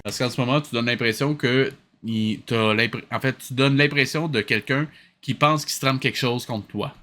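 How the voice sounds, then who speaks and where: A man's voice: medium pitch, moderate pace, sharp tone, soft background music. A man with a Québécois accent comments casually, as if reacting to something on screen. He is sarcastic and openly exasperated, picking things apart with dry disbelief. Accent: Québécois French. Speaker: host of a series-watching reaction show.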